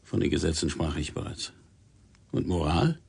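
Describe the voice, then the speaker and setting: Sober editor boss, man, husky, gravelly, matter-of-fact, radio play. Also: extremely low pitch, big pitch swings, moderate pace, darkish tone, heavily acted. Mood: sober, cautioning. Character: sober, shrewd male newspaper boss. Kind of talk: German radio drama acting.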